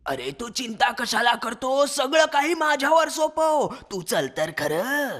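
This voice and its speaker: A woman's voice: high voice, very animated, a little slow, a bit bright, exaggerated voice. A woman voicing a sly, scheming male fox who serves as the lion's minister, with a coaxing, sweet-talking manner. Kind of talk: storybook character voice.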